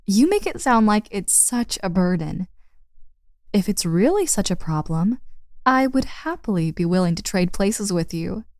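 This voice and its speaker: Woman acting as playful young older-sister type, tired and teasing, slow pace, very expressive pitch, intimate audio roleplay. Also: no echo, studio quality. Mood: weary, grumbling. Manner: casual, playful, sighing, drawn-out words. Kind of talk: intimate roleplay audio drama addressed to the listener.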